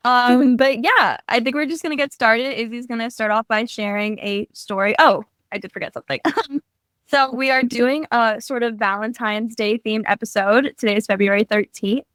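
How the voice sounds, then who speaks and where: A woman's voice: a little high, moderate pace, a bit bright, no room sound. A teenage girl hosting a podcast, introducing the episode in a chatty way. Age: teens. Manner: chatty, many likes. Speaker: podcast host. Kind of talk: casual chat, introducing the episode.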